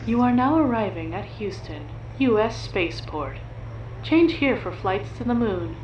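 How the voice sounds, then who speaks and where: A woman's voice: mid-range voice, animated, unhurried, loud music behind the voice. A woman playing a formal spaceport announcer over a public address speaker in an audio drama. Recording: echoey, distant, muffled, noisy tannoy.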